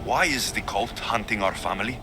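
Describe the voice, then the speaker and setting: A man's voice: on the low side, a little fast, music in the background, close to an everyday voice. A man playing a defiant young warrior searching for answers in a video game, speaking bluntly and intensely. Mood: demanding, hurt. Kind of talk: video game cutscene acting.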